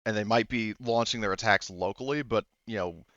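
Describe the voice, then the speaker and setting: A man working as game master, narrating a tabletop game off the cuff in a halting, rambling, self-correcting way. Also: on the low side, a bit bright, dry recording, highs cut off.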